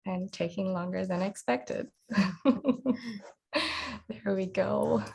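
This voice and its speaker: A woman's voice: mid-range voice, slow pace, gravelly. A woman chatting casually as a guest speaker while walking through her slides. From Singapore. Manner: relaxed, chatty, presenting slides. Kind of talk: casual presentation.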